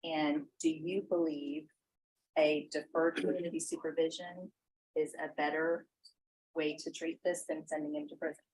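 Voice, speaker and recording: A woman's voice: low voice, flat delivery, moderate pace, a little mellow, husky, low-quality recording. An American woman working as a defense attorney, questioning formally in a courtroom.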